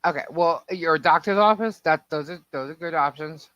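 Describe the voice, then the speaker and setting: A woman with a deep voice co-hosting a casual podcast, talking emphatically and at length. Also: normal speed, a bit bright, no echo, highs cut off. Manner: emphatic, talks at length, repeats herself. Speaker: podcast co-host. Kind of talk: casual opinionated chat.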